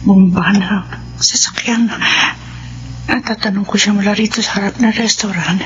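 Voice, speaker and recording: A woman's voice: mid-range voice, fairly even intonation, moderate pace, lightly acted. An actress with a Filipino accent playing an anxious, suspicious wife and mother in a radio drama. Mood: fearful, hushed. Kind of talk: radio drama acting with murmured inner monologue. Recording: dull, noisy radio drama audio.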